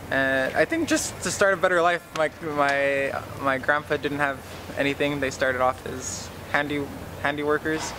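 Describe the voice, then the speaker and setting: A young man, a student, hesitantly answering a street interview, stumbling and repeating words. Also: on the high side. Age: young adult. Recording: outdoor street noise.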